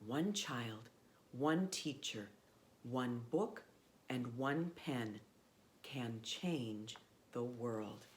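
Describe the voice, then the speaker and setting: A woman pastor, reading a picture book aloud to children in an expressive, simple way. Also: rumbling low, extremely slow, a little hiss or hum. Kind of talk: storybook read-aloud, addressed to children.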